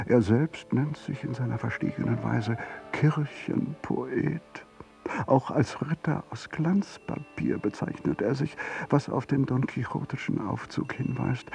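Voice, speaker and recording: An actor playing a mocking devil who narrates in a sardonic, theatrical, deliberate way in a radio play. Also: male voice, medium pitch, creaky, darkish tone, recorded from a distance. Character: mocking male devil narrating a fantasist's life.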